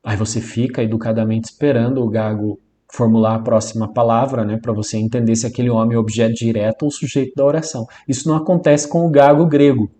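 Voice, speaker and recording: A man's voice: medium pitch, steady tone, fast talker, few pauses, warm tone. A man with a Brazilian accent giving an informal lesson as a teacher, repeating and stressing key points in a didactic way. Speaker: Biblical Greek teacher. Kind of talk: informal instructional lecture.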